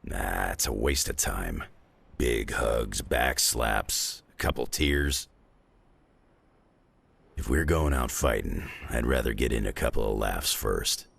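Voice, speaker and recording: A man playing a gruff, wry, battle-hardened hero in a video game, with a gravelly rasp. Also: extremely low pitch, lively intonation, slow, fairly bright tone, exaggerated voice. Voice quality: gravelly, raspy. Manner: laconic, wry.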